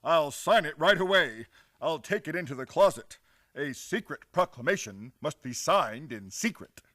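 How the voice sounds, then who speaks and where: A man's voice: fairly high pitch, lively intonation, normal speed, fairly bright tone. An actor playing a blustering, earnest man who believes he is the President, declaiming in old-time radio comedy style. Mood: pompous, excited. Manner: pompous, declamatory.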